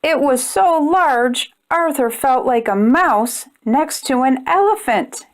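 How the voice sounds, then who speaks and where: A woman's voice: high-pitched, animated, slow pace. A woman hosting a children's storytime, reading aloud expressively and speaking directly to young listeners. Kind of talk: children's read-aloud narration.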